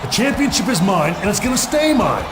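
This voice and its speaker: A man's voice: high-pitched, sing-song, brisk, crowd noise. A man playing a boastful, defiant champion wrestler delivering a challenge in a video game. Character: cocky, defiant male champion pro wrestler. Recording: echoey arena with music behind.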